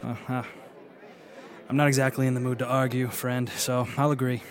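A man's voice: mid-range voice, flat delivery, normal speed, lightly acted. A man playing a wry, genial and watchful adventurer in a radio drama, speaking articulately. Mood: wry, amused.

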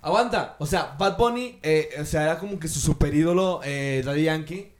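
A young man with a Mexican accent and a high-pitched voice co-hosts a casual podcast. He chats in loose slang, excitable and joking. The room adds noticeable echo. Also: moderate pace, over a noisy crowd. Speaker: podcast host. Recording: roomy echo.

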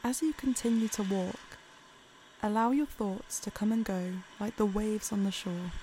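A woman narrating a guided meditation in a calm, soothing, unhurried way. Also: mid-range voice, a little hiss or hum.

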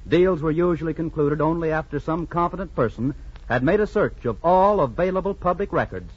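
Husky-voiced man, old radio announcer. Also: on the high side, a little fast. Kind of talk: 1940s radio sponsor announcement. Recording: muffled 1940s radio recording.

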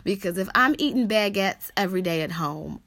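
An American woman in lively casual conversation, her voice bright and forceful. The sound is dry and close, with no room around it. She talks in quick bursts and laughs easily. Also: medium pitch, no echo. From United States. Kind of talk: casual chat.

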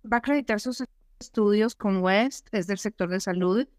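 Woman, middle-aged, immigration consultant, emphatic explaining, rhetorical questions, medium pitch, dry close sound. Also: normal speed, a little mellow, no room sound. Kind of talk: advisory talk show chat.

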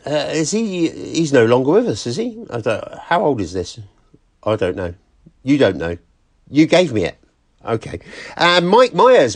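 A man delivers humorous commentary in a wry, teasing way. His pitch moves about a great deal between punchlines and asides, and the sound is close and dry. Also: dry recording. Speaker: comic presenter.

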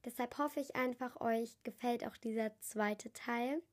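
A woman's voice: fairly high pitch, fairly even intonation, a little slow. A teenage girl hosting her own podcast, chatting earnestly to her listeners while stuffed up with a cold. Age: teens. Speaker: podcast host. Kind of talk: casual solo podcast talk.

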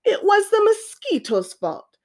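A woman's voice: very high-pitched, very animated, unhurried, darkish tone, pitched above the performer's own voice, heavily acted. A woman voicing a flustered iguana in a storybook, protesting its innocence emphatically.

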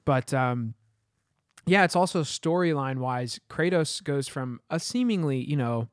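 A man's voice: mid-range voice, expressive, unhurried, dry recording. Rambling male podcaster, dry close sound. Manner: rambling, searching for words, with "you know" and "I mean". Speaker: podcast co-host. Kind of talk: casual chat.

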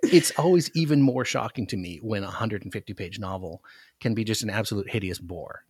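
Man, fairly low pitch, close dry recording, podcast guest, casual, wry, emphatic. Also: brisk, darkish tone, breathy edge, no room sound. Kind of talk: casual book discussion chat.

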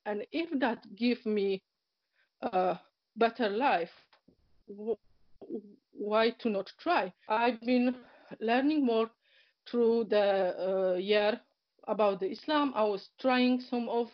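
Guest: woman, Polish accent, Polish native speaker, hesitant storyteller who laughs softly, lo-fi dry call audio. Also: on the high side, takes it slowly, long pauses, no echo. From Poland. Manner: hesitant, many fillers, nonnative grammar, frequent soft laughs. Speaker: interview guest. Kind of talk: personal story in casual conversation. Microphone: online call. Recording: dull, lo-fi internet call audio.

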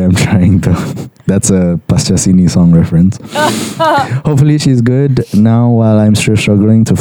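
A low, very dark-toned man with a Malaysian accent chats on a podcast, reading listener emails aloud with a joking edge and slipping into Malay words. The sound is dry and close. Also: dry recording. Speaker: podcast co-host.

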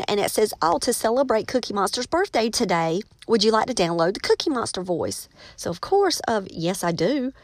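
A woman's voice: fairly low pitch, no room sound. A middle-aged American woman hosting a casual solo podcast, chatting in a folksy storytelling way straight to her listeners. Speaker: podcast host, teacher and writer.

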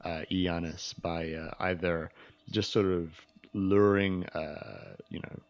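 Deep voiced guy running a tabletop game, lots of uhs, kinda muffled recording. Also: steady tone, creaky. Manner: halting, many fillers and restarts. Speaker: game master.

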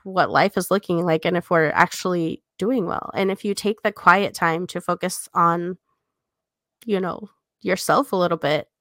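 A woman's voice: fairly low pitch, normal speed, a bit bright, dry recording, clean studio sound. A woman co-hosting a podcast, talking through a book in a casual, reflective, conversational way. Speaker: podcast co-host.

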